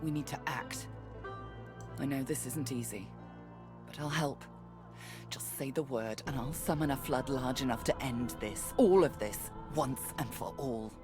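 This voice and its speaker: A woman playing a grave, resolute sorceress in a video game, speaking in a deliberate, commanding way. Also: extremely low pitch, music in the background. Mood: grave urgency. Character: grave, resolute low-voiced sorceress urging action.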